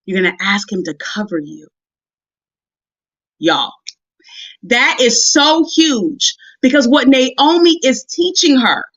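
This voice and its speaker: A woman preacher with a high-pitched voice and a dry, slightly dull recording. She delivers a motivational Bible message in a folksy, conversational way, addressing women directly. She leans on repeated phrases to drive each point home. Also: fairly bright tone, no room sound. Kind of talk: motivational sermon on a live stream. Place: live video stream.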